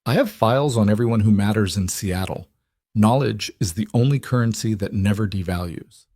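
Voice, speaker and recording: A man narrating plays a brooding, controlled mafia boss in a romance audiobook. He speaks low, in grave, measured declarations, on a dry recording. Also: dark tone, dry recording. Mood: measured warning. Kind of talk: dramatised audiobook dialogue.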